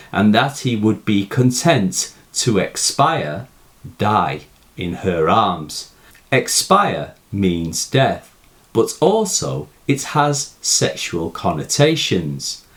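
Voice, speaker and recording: A man giving a scripted, explanatory poetry lesson in a measured, formal manner. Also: fairly low pitch. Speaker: poetry teacher. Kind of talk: scripted explanatory narration of a poem analysis.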